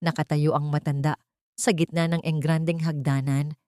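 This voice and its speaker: A woman's voice: low-pitched, level tone, moderate pace, warm tone, dry recording, clean studio sound. A woman narrator reading a scripted romantic audio drama in a dramatic storytelling style.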